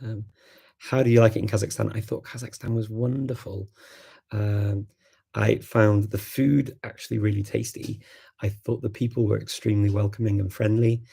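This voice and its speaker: A man's voice: fairly low pitch, level tone, moderate pace, gravelly, warm tone, breathy edge. A man whose first language is Macedonian, hosting a live stream and chatting casually in answer to viewer questions, thinking aloud with many ums and restarts.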